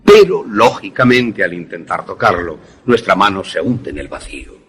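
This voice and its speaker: Older male professor for suspense radio drama, man acting: very husky, animated intonation, didactic, obsessive inventor. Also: sits low, heavily acted. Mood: didactic, proud. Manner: didactic, deliberate, emphatic explanations.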